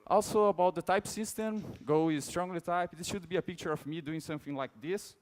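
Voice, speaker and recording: A young man from Brazil with a Brazilian Portuguese accent, giving a relaxed conference talk on a big stage, with many fillers and restarts. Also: high-pitched, brisk. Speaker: conference speaker. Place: large dark auditorium stage.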